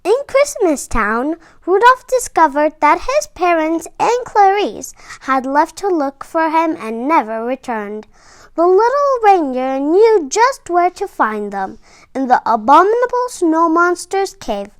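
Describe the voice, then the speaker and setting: A woman storyteller reading a children's storybook aloud with expressive, emphatic phrasing. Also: very high voice, measured pace, no room sound.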